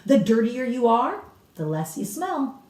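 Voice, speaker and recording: A woman's voice: a little high, big pitch swings, a little slow, darkish tone, voice pushed up. A woman voicing a blunt, authoritative elderly grandmother from a storybook, explaining with emphatic relish and a snappish edge. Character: cigar-smoking old grandmother, authoritative, blunt, relishing grisly witch lore. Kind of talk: storybook read-aloud character. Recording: roomy echo, distant microphone.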